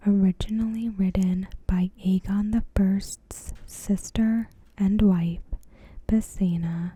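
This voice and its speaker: Woman whispering breathy, slow ASMR. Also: mid-range voice, dry recording. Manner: soft, slow, deliberate delivery. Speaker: ASMR host. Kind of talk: whispered ASMR, reading a TV episode review.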